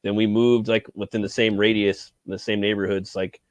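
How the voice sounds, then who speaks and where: Fast-talking laid-back man. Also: lowish voice, level tone, no echo. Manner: laid-back, swears a lot. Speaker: podcast co-host. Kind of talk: casual chat.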